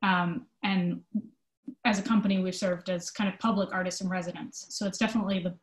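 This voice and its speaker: A woman's voice: on the low side, little pitch movement, a little fast. A woman giving earnest, thoughtful interview answers in casual, conversational phrasing. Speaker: theater company manager being interviewed. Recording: echoey room sound.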